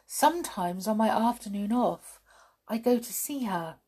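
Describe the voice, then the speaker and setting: A woman voicing a polite little female servant mouse in a storybook, answering meekly and slowly. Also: medium pitch, expressive, mellow, slightly rough.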